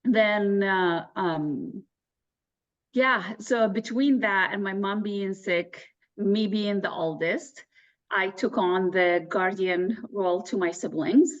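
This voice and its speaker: A woman's voice: medium pitch, slow. Woman from Iraq, slightly dull audio. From Baghdad, Iraq. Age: 30s to 40s. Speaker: interview guest, real estate agent. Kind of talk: personal storytelling in a casual interview.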